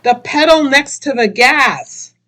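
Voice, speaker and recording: A woman's voice: high-pitched, animated, takes it slowly, pushed and bright, pitched above the performer's own voice, exaggerated voice. A woman voicing a confused, desperate boy in a radio play, bossy and pleading in short, clipped sentences. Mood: panicked yelling. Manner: hollers urgent commands, clipped short sentences.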